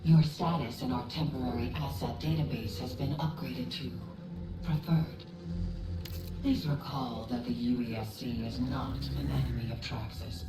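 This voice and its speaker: An actor playing a cool, ruthless corporate representative in a video game briefing, formal, measured and coldly courteous. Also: mid-range voice, lightly acted. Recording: roomy echo, distant, very loud music. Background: very loud music.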